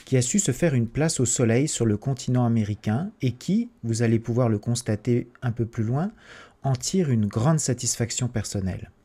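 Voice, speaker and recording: A man narrating a documentary in a measured, analytical scripted voice-over. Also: mid-range voice, lively intonation, warm tone, breathy edge.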